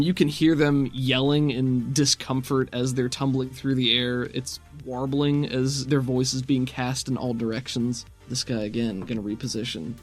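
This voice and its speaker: A man running a tabletop roleplaying game, explaining rules and narrating the action in an informal, conversational way. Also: mid-range voice. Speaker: game master.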